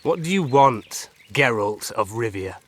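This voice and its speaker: A man's voice: medium pitch, lively intonation, unhurried, background noise, natural voice. An actor playing a melancholy, cryptic old elf woodsman in a fantasy video game, musing with a tipsy drawl. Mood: resigned, musing.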